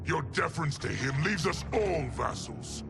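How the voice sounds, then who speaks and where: An actor playing a treacherous, domineering warlord general in a video game cutscene, declamatory and menacing. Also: male voice, fairly high pitch, expressive, moderate pace, creaky, over music. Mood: defiant.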